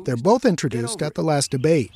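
A man's voice: a little high, big pitch swings, fairly quick, warm tone. A middle-aged man from Mexico, a native Spanish speaker, narrating a radio feature in a wry, self-mocking way. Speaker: radio reporter.

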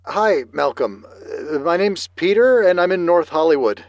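A man's voice: a little high, lively intonation, moderate pace, no echo, muffled recording. An actor playing a nervous, self-conscious man calling a radio show, hesitant and earnest in a naturalistic audio drama. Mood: nervous, relieved. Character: nervous, hesitant male radio caller, grieving son.

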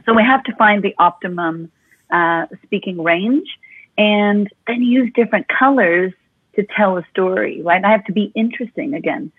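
Female vocal coach guest, animated and demonstrative, husky tone, dry tinny phone-line sound. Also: mid-range voice, normal speed, no room sound. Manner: expressive, talks with her hands.

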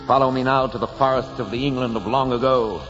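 A man's voice: medium pitch, fairly even intonation, fast, music in the background. A man giving scripted 1940s radio narration as an announcer, dramatic and grandiose. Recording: dull, noisy 1940s radio recording, long reverb.

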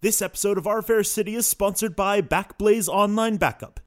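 A man's voice: high voice, normal speed. A man reading a scripted sponsor message as an announcer.

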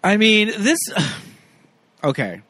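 A man's voice: high voice, huge pitch swings, extremely slow, bright, forceful tone, no echo. An American man co-hosting a podcast, chatting casually about film in a playful, emphatic way. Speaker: podcast co-host.

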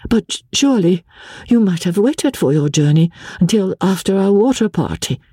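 A reader voicing an anxious young noblewoman who pleads with her husband in quick, nervous speech. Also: mid-range voice, lively intonation, mellow, slightly rough, no echo, studio quality, voice pushed up. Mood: feverish, pleading. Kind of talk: audiobook character voice.

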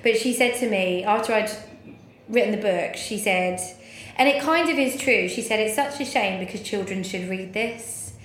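A woman's voice: medium pitch, normal speed, fairly bright tone. A woman in her thirties talking candidly and informally as an interview guest, telling personal stories with plenty of swearing. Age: 30s. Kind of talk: candid conversational storytelling. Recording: echoey room, faint background noise.

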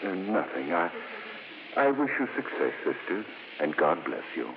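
A kindly, cautious bishop with a low voice and very expressive intonation. He speaks deliberately, with thoughtful pauses, in old radio drama style. The broadcast is noisy and narrow. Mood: hesitant. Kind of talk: 1950s radio drama acting.

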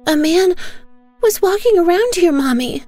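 A woman voicing a terrified little girl who cries and pleads in a high, trembling voice. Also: expressive, measured pace, dark tone, dry recording, soft background music. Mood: frightened.

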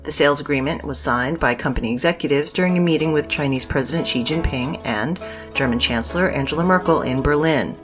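A woman reads the news from a script in a low register. She is formal and precise in her phrasing. Faint music plays underneath. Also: fairly quick. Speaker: news reader.

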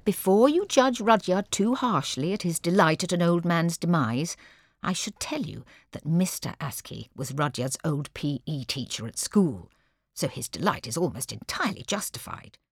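Low, husky woman narrator. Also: expressive, no room sound, clean studio sound. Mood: wry. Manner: wry, deliberate storytelling. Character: wry female storyteller of a village comedy. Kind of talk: scripted narration.

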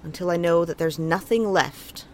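A woman's voice: fairly low pitch, normal speed, darkish tone, a little hiss or hum, lightly acted. A woman playing a dutiful, vigilant moonbase commander in audio drama dialogue, firm and businesslike. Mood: firm, concerned.

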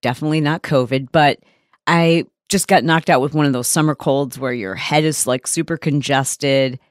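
A woman hosting a solo podcast, talking openly and conversationally, her voice slightly hoarse from a recent cold. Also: low voice, fairly even intonation, moderate pace, no echo, clean studio sound. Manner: open, conversational, emphatic. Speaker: podcast host. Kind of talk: candid solo podcast monologue.

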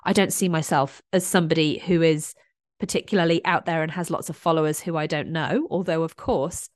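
A woman's voice: low-pitched, fairly even intonation, fairly quick, no echo, clean studio sound. A woman in her thirties hosting a podcast, talking candidly and reflectively with a stuffy, congested voice from a chest infection and hay fever. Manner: candid and reflective, with frequent restarts. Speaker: podcast host. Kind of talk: casual solo podcast monologue.

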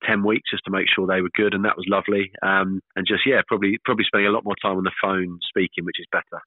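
A man in his thirties, a professional cricketer, talking casually in an interview, fairly monotone and hesitant with many fillers and restarts. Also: low voice, quick pace, dry recording. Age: 30s. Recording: tinny dry phone line.

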